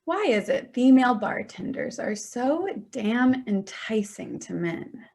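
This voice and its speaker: An actress playing Danielle, a sardonic grown daughter, in a staged play reading. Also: female voice, a little high, sing-song, measured pace, gravelly, a little mellow, heavily acted. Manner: sardonic, wry.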